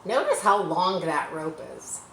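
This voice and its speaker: Female storybook reader: bright, mid-pitched, expressive, informal, in a roomy-sounding space. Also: a little slow, slight background noise. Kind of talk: expressive read-aloud narration for children with casual asides.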